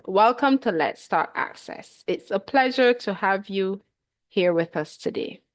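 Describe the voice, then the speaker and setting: A woman hosts an online talk show. She introduces her guests and asks them questions. She speaks slowly and deliberately. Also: mid-range voice. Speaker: host.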